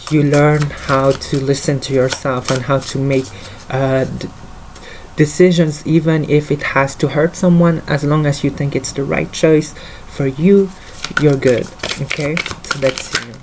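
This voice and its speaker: A man's voice: on the high side, fairly even intonation, unhurried, background noise. A man with a French accent, a native French speaker, giving a casual tarot card reading in English, talking hesitantly with many fillers. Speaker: tarot reader.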